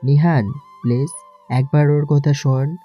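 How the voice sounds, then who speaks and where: A man's voice: fairly high pitch, fairly even intonation, a little slow, soft background music. A man playing a firm, loyal friend who speaks up earnestly in a romantic audio story, on a muffled track. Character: loyal, firm male friend defending a woman.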